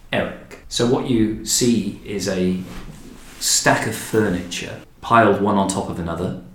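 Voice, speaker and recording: A man hosting a podcast, describing things conversationally and in a measured way with pauses between short phrases. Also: deep voice. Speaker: podcast host, historic house director. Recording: roomy, echoing indoor sound.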